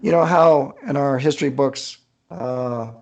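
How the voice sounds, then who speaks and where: A man with a gravelly, husky voice answering casually in an interview as an author, chatty and thinking aloud. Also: mid-range voice, a little slow.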